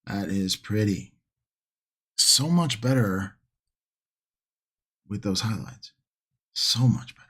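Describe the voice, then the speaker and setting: Male hobby streamer, slow and husky, casual rambling delivery to an online chat, musing as he works. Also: on the low side, lively intonation, a bit bright. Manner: unhurried, rambling, musing to himself. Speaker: livestream host, miniature painting hobbyist. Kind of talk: casual chat to livestream viewers while painting.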